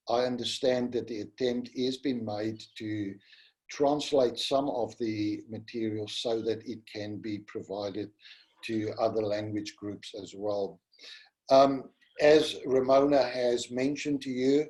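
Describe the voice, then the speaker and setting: A middle-aged man with a South African accent, first language Afrikaans, giving a gentle, pastoral webinar talk. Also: medium pitch, rough recording. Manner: gentle and pastoral, addresses listeners as "my friend". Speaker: webinar presenter. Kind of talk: slow, earnest teaching talk.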